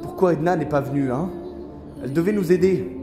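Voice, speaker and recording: A man plays a bitter, anguished young man facing death in an audio drama. His voice sits fairly high and is agitated. It echoes through a hall under prominent music. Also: brisk, lightly acted. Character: anguished, bitter young condemned brother afraid to die. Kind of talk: French audio drama acting.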